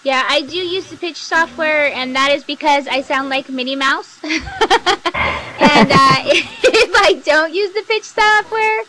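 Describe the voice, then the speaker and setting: A woman's voice: sits high, unhurried, background noise. A woman giving an informal instructional talk as a podcaster, explaining things in a chatty, colloquial way. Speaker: fiction podcaster giving a workshop.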